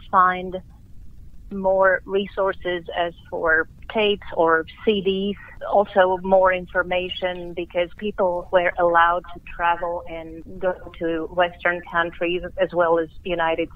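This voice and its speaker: A middle-aged woman from Slovakia, a Slovak speaker, telling her story in a measured, thoughtful way as a guest in a phone interview. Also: lowish voice. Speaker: guest musician. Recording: tinny phone line.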